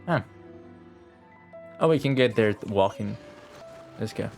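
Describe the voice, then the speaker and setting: A man's voice: fairly low pitch, expressive, measured pace, creaky, faint music behind. A young man giving casual, slangy live commentary while streaming a video game, muttering asides to the game.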